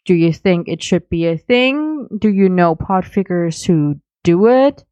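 Slow-paced, lowish woman, close and dry. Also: animated, warm tone, dry recording, highs cut off. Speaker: podcast contributor leaving recorded comments. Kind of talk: casual spoken commentary.

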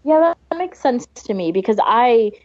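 A woman's voice: fairly high pitch, animated, normal speed, darkish tone, no room sound. A woman co-hosting a podcast in casual chat, on a dry, slightly dull recording. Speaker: podcast co-host.

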